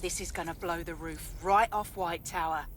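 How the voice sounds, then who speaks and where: Actress as a bold pirate radio host. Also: female voice, fairly low pitch, steady tone, normal speed, close to an everyday voice. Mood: rousing, determined. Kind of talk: radio show broadcast in a video game. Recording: faint background noise.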